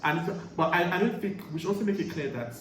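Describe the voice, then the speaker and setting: A young British man with Igbo as his first language, hosting a casual group chat, talking quickly and stumbling over repeated starts with a joking swagger. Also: sits high. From Britain. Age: young adult. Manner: fast, repetitive stammering starts, playful boasting. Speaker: channel host. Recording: echoey room, faint ambient noise.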